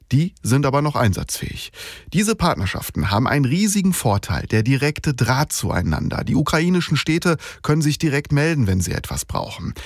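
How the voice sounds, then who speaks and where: Guy radio reporter, scripted report, lively melody to his voice, super dry studio. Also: medium pitch, no room sound.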